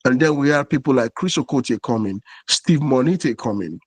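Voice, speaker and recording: A man's voice: medium pitch, expressive, moderate pace, no echo. Man explaining music history in a dry room. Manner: explanatory, earnest, many fillers. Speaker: podcast co-host. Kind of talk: casual podcast discussion.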